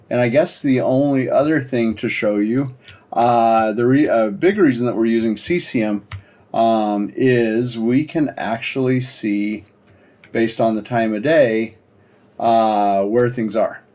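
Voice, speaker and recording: A man presenting a casual screen-share software demo in an informal, halting, explanatory way. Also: mid-range voice. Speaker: presenter demonstrating a software project.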